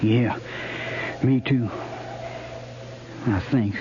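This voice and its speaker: An American actor playing a sheepish, grumbling young trooper with a folksy way of talking in a 1950s radio western. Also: male voice, mid-range voice, fairly even intonation, drawn-out words. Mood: nervous, sheepish. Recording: muffled, noisy 1950s radio recording.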